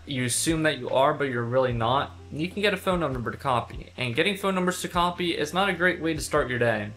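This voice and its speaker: A man's voice: on the high side, fairly bright tone. A young man giving a casual, conversational instructional talk for a video, stressing his key points in an informal way. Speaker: instructor, video host and pilot.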